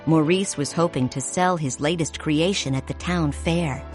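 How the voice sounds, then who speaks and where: A woman narrating a read-along storybook in a clear, measured, expressive way. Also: sits low, soft background music.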